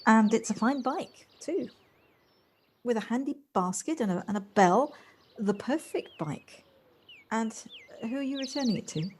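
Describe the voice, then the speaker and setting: A woman's voice: on the high side, animated, a little slow, husky. A woman acting a polite, slightly hesitant, well-spoken woman in an audio play, recorded at home. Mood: friendly, polite. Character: Jocelyn, polite warm woman settling into a house. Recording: home recording on personal device, faint noise.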